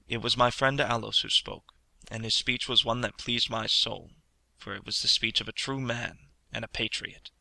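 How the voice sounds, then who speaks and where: A man narrates classic fiction in a solemn, dramatic, measured way. His voice is intensely creaky and gravelly, with a hard, sharply forceful edge. The recording is very dry, with no room sound around him. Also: fairly low pitch, no echo. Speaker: audiobook reader. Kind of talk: scripted literary narration.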